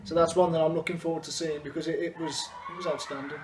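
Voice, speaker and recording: A man's voice: on the high side, level tone, quick pace, darkish tone, far from the mic. A man speaking casually as he answers an interview question. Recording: echoey room, faint noise.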